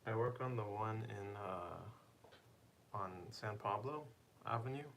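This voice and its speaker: A man's voice: fairly low pitch, takes it slowly, creaky, slight background noise. A young man casually chatting on a live stream, playfully teasing and asking viewers questions.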